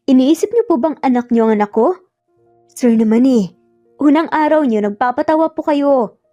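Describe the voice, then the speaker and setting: A nervous young mother in a romance tale acted by a woman storyteller, evasive and apologetic. Her voice is mellow and a little high, with hesitant phrasing. It is recorded dry over music. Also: lively intonation, normal speed, no room sound, lo-fi sound. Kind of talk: dramatic romance audio storytelling.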